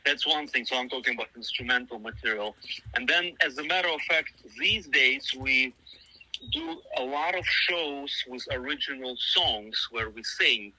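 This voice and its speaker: A man's voice: mid-range voice, expressive, normal speed, sharp tone, dry recording, rough recording. A middle-aged man whose first language is Russian, giving long, thoughtful interview answers full of fillers and restarts. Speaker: musician guest.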